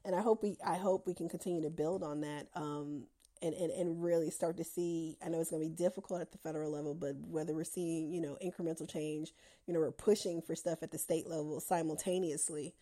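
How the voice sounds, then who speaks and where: Woman interviewer, low-pitched, eager, chatty, lots of restarts. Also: fairly even intonation, fairly quick, mellow. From lives in Atlanta. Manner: enthusiastic, many fillers and restarts. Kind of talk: casual interview chat.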